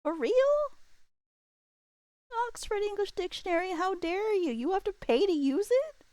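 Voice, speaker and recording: A woman's voice: very high voice, animated, a little slow, a little mellow, no room sound. A woman livestream host chatting casually, rambling and thinking aloud in an informal way.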